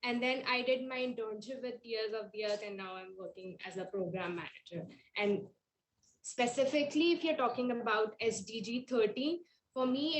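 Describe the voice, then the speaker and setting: A woman panelist introducing herself in a panel discussion, hesitant with many fillers and restarts. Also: a little high. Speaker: panelist, program manager. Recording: echoey room with faint noise.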